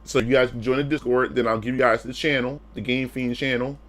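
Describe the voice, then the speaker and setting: A man's voice: medium pitch, steady tone, fairly quick, fairly bright tone. A man streaming live in a casual, rambling chat, often restarting and repeating words as he talks straight to his viewers. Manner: laid-back, addresses viewers directly.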